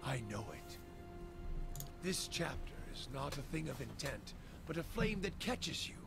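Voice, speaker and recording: An actor playing a zealous, bitter wandering fire priest in a video game, preaching in a cryptic, ominous, gravelly manner. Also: male voice, fairly high pitch, big pitch swings, a little slow, music in the background, exaggerated voice. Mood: brooding, preachy. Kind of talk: video game voice acting, grandiose monologue.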